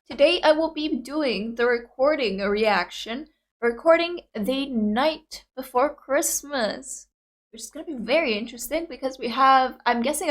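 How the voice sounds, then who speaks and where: A young woman whose first language is Greek chats casually and excitedly, her voice sitting a bit high. She fills her talk with casual fillers and restarts as she reacts. She talks like a video host addressing viewers. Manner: chatty, excitable, many fillers.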